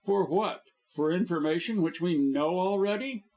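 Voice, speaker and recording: A man reading an audiobook, voicing a calm, measured male doctor. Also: sits high, pitched above the performer's own voice.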